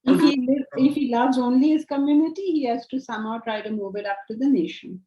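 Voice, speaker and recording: A woman's voice: high-pitched, fast. A woman in her sixties teaching a Vedanta class over a video call from her home study, explaining patiently. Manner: patient, explanatory, animated hand gestures. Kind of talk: online class Q&A. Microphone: video call laptop mic. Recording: roomy, dull video call audio.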